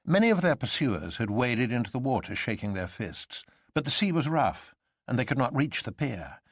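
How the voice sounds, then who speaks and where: A man reads literary fiction as a dramatic narrator. His pitch moves a great deal and his delivery is theatrical. The recording is dry, with no room around the voice. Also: moderate pace, no echo. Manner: theatrical, expressive.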